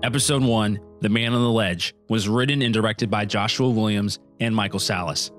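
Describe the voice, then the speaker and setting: A man's voice: medium pitch, fairly bright tone. A man reading scripted credits as an announcer. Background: music.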